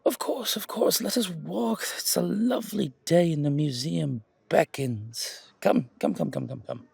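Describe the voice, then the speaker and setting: Man playing a genial, gravelly museum gentleman. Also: on the high side, unhurried, no echo, full character voice. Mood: warm, welcoming. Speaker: voice actor. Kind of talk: roleplay audio drama acting.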